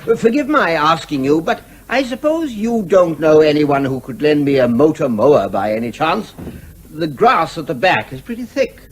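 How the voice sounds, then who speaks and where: A slippery, cheeky English newcomer in a radio comedy. The voice is high and very animated in pitch. He wheedles with effusive, hesitant courtesy. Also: moderate pace, slight background noise. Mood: ingratiating. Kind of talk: radio comedy drama acting.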